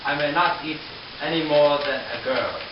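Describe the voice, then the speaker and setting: A man's voice: on the high side, moderate pace, lo-fi sound, background noise, lightly acted. A man playing a lovesick, sweet-talking young suitor with a vengeful side in an amateur puppet show, speaking in a florid, pleading way. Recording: roomy, distant mic, loud music behind.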